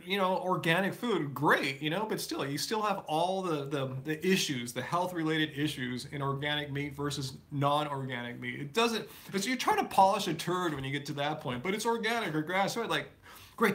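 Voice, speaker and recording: A man with a bright, forceful tone and a slightly high pitch hosts a relaxed live Q&A stream. He answers viewer questions loosely, full of fillers and restarts. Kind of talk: casual live Q&A chat. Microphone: live stream setup.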